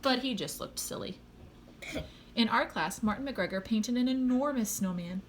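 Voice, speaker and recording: A woman reading a children's storybook aloud expressively, pausing to speak to a young listener. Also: a little high, slight background noise. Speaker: storybook reader.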